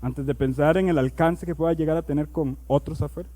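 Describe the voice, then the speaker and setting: A male pastor preaching a sermon. He reads scripture aloud, slows down on key words, and builds to loud, emphatic preaching. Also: fairly high pitch, fairly even intonation.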